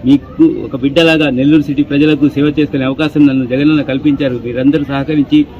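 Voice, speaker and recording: A man's voice: fairly high pitch, little pitch movement, brisk. A man with an Andhra Telugu accent gives an earnest campaign statement as a political candidate, outdoors on a town street in a muffled, noisy recording.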